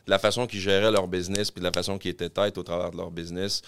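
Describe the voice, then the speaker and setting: A man from Quebec City with a Québécois accent, a podcast guest telling his business story in fast, rambling casual chat. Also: sits low, level tone, clean tone. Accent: Québécois French. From Quebec City, Canada. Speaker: podcast guest, clothing-store co-founder.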